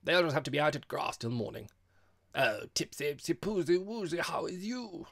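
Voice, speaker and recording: A man's voice: fairly high pitch, sing-song, moderate pace, vocal fry, pitched above the performer's own voice, heavily acted. A man voicing a cheeky, hearty young woman with clipped, playful speech in a comic audiobook. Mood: playful cooing.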